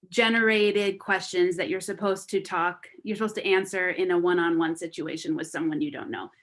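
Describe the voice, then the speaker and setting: A young woman hosts a casual welcome session over a video call. She talks in a relaxed, chatty way, with plenty of ums and uhs. Her voice sits at an ordinary middle pitch. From Las Vegas. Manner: chatty, relaxed, many fillers. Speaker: wellness committee member hosting a video call. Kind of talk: casual online presentation.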